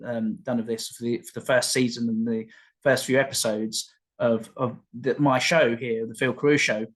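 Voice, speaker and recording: A man's voice: mid-range voice, poor audio. A man hosting a podcast over a video call, chatting casually and often restarting his sentences. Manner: rambling, repeats words and restarts, jokey asides. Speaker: podcast host. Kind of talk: casual conversational chat.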